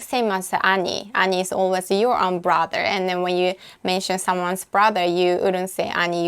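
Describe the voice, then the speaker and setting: A Japanese-accented woman talks through a language app lesson in a relaxed, unscripted way. Her voice is smooth and clean at a middle pitch. She pauses with frequent fillers and corrects herself as she goes. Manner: thinks aloud with frequent uh and self-corrections. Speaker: language tutor. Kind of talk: casual screen-recorded app walkthrough.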